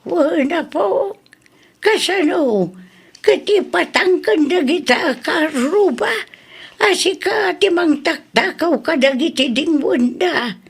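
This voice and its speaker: A woman playing an elderly, doting, worried Ilocano grandmother in a radio drama, with an Ilocano accent and creaky voice. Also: very high-pitched, animated, takes it slowly, heavily acted. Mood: fretful, concerned.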